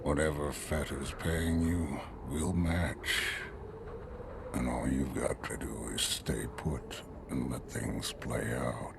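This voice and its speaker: Very deep, gravelly alien gunslinger villain. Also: male voice, little pitch movement, exaggerated voice. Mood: cold menace. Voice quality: gravelly, whispery rasp. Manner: slow, deliberate, threatening. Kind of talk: screen western-style sci-fi drama acting. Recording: loud noise behind the voice.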